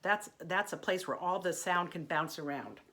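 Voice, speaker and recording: Bright, lively woman teaching voice. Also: on the low side, moderate pace. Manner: gentle, chatty, demonstrating vocal sounds. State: slightly congested from allergies. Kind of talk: online singing lesson.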